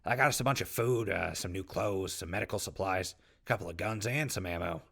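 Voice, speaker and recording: An actor playing a friendly, joking wasteland survivor in an audio drama, casual and slangy with a gravelly voice. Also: male voice, deep voice, fairly quick, fairly bright tone, exaggerated voice. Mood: upbeat. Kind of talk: post-apocalyptic audio drama acting.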